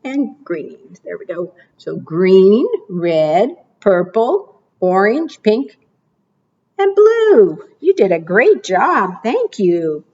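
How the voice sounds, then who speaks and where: A woman librarian reading picture books and leading rhymes for preschoolers in a slow, encouraging sing-song way. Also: mid-range voice, sing-song, darkish tone, breathy edge, dull audio. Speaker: children's librarian.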